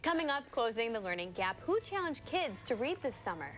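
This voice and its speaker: A woman's voice: a little high, big pitch swings, fairly quick. A woman with an American accent anchoring TV news, moving between reading and casual banter.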